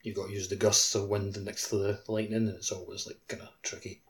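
A man's voice: fairly low pitch, flat delivery, fairly quick. A man speaking as a co-host on a casual gaming podcast.

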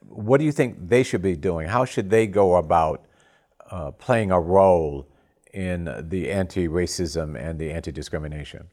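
A man interviewing as a podcast host, putting questions in halting, emphatic short phrases. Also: low voice, dark tone.

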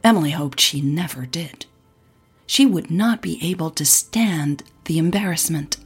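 Husky, low-voiced woman narrating a story. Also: expressive, a little slow, soft background music. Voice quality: husky, breathy. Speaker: audio drama narrator.

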